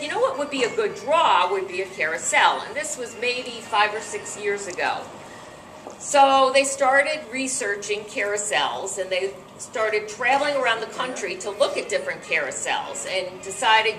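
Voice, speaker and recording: A middle-aged American woman with a loud voice, hosting an event and giving an informal, chatty talk to a gathering of guests. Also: medium pitch. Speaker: event host and board director. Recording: echoey room from far off, faint music.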